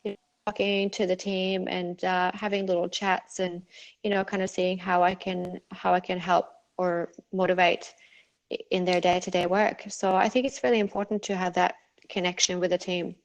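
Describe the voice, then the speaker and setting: A woman's voice: lowish voice, flat delivery, normal speed, poor audio. Woman, middle-aged, faded Norwegian accent, chatty, fillers and restarts, interview guest. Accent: Norwegian, partly faded. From Norway. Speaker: clinic owner guest. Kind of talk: casual interview answers.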